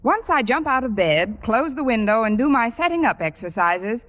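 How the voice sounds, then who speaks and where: An American actress playing a wry, wisecracking English teacher with dry comic timing in an old radio comedy. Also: female voice, on the high side, very animated, moderate pace. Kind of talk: 1940s-50s radio comedy acting. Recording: muffled old radio recording, faint noise.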